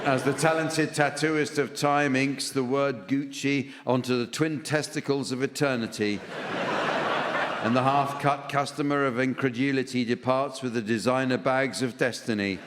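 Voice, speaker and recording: A man chairing a comedy panel show in front of a live audience, with a deadpan, mock-grandiose delivery. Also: medium pitch, normal speed. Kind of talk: scripted comedy hosting before a live audience. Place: theatre stage with live audience. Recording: echoey hall, noisy laughing audience.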